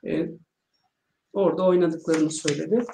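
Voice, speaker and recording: A man in his thirties with a Turkish accent, interviewing over a video call and translating, halting with many fillers. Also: on the high side, steady tone, vocal fry, noisy background. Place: small home room with shelves. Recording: echoey room.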